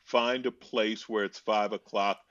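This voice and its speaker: A deep-voiced man trades wry, deadpan jokes, drawing out his punchlines. His voice comes through a dull, lo-fi video-call line. The sound is dry and close, with no room around it. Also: measured pace, dry recording. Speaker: guest comedian answering fan questions. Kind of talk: joking video-call chat.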